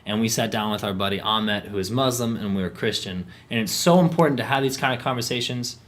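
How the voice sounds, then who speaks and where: A man's voice: on the low side, fast. A man hosting a podcast in a casual, eager way, curious and apologetic, laughing easily. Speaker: podcast host. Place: basement room.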